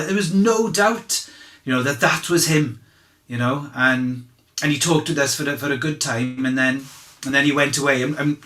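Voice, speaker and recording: A man playing an earnest disciple testifying as an eyewitness at a dramatized inquest, speaking conversationally and haltingly as he searches for words. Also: medium pitch, a bit bright, natural voice. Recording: echoey room.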